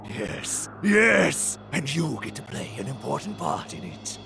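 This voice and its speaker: A man's voice: very animated, measured pace, vocal fry, full character voice. A man playing a sinister, scheming villain in a video game cutscene, with a theatrical, condescending delivery. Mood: mocking, scheming. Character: sinister scheming male villain, mocking and sly. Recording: noisy low-quality game capture.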